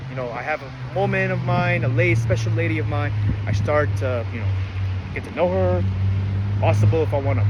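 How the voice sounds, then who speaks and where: A nineteen-year-old man talks earnestly to the camera in a slightly high voice, rambling with lots of fillers and restarts. He is outside in the rain, and the recording is full of wind and outdoor noise. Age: late teens. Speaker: vlogger. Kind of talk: casual monologue to camera.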